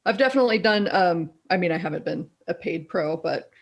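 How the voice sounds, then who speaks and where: A middle-aged woman with a deeper, raspy voice, a guest in a casual interview who tells animated stories and laughs often. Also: fairly quick. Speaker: guest, copywriter.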